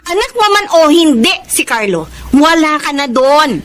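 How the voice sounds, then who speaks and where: A woman's voice: high voice, very animated, a little slow, a bit bright, slight background noise, full character voice. An actress playing a bitter, defiant mother in a Filipino radio drama, confrontational and scornful in her delivery. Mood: accusing.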